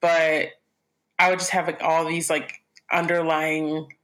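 Young woman cohost, low voice but bright and punchy, tells stories to y'all. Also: a little slow. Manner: animated storyteller, addresses listeners as y'all. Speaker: podcast co-host. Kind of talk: casual chat.

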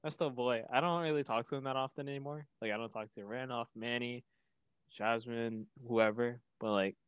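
A man's voice: mid-range voice, fairly even intonation, moderate pace, a bit bright, clean tone, no echo, dull audio. A young man co-hosting a podcast, trading casual, swearing, joking banter. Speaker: podcast co-host.

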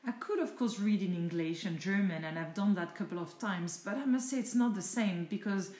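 A middle-aged woman from France with a French accent, speaking English rather than her native French, giving chatty, thoughtful answers with searching pauses in a casual home interview. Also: mid-range voice, a little mellow, echoey room. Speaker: interviewee, mother of the host.

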